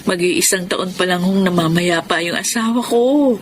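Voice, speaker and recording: A woman acting as a gentle, modest young widowed mother in a Filipino radio drama, polite and hesitant with a Filipino accent. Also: on the low side, normal speed, slight background noise, close to an everyday voice. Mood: shy, polite. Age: young adult.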